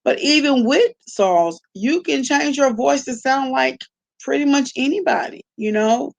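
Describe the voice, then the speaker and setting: A woman with a fairly high voice hosts a casual live stream. She talks straight to her viewers in an informal, conversational manner, using plenty of slang. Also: animated, a little slow, vocal fry. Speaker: live-stream host reacting to online gossip. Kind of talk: casual livestream chat with viewers.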